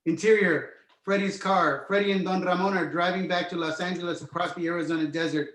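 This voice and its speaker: Casting: male narrator for screenplay directions, slightly high voice, roomy echoing sound. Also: fairly even intonation, a little fast, fairly bright tone. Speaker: narrator reading stage directions. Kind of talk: screenplay table read narration, present tense.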